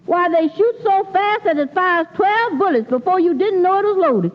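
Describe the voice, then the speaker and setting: A ditzy young country gal in a 1940s radio comedy, pitched extremely high. She gossips in folksy, broken grammar with lively swoops. The old recording is muffled and hissy. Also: normal speed. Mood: naive gossiping. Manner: folksy ungrammatical speech. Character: naive young woman, ditzy, folksy, gossipy boardinghouse friend.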